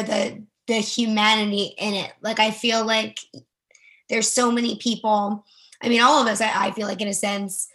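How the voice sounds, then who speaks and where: A woman's voice: medium pitch, pushed and bright. A woman giving casual, appreciative feedback in a tentative, hedging way, full of "like" and "you know". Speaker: workshop participant giving feedback.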